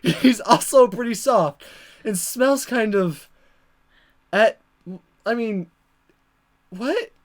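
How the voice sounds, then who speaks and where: A gamer voicing a flustered, awkward high school boy from a visual novel, stammering and exasperated. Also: expressive, extremely slow, pauses often. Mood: puzzled, flustered. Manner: stammering, exasperated inner monologue. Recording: music under the voice.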